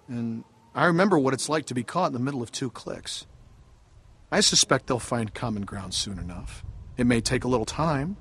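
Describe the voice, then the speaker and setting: An actor playing a reasonable middle-aged ex-teacher in a video game drama, measured, reassuring and philosophical. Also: male voice, mid-range voice, fairly bright tone, slightly rough. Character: gentle, reasonable older ex-teacher, peacemaking survivor.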